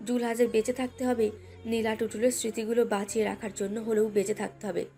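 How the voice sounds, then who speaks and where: Female narrator for romantic audio fiction, high register, scripted read with a light music bed underneath. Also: flat delivery, fast talker, dark tone. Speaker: story narrator. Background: background music.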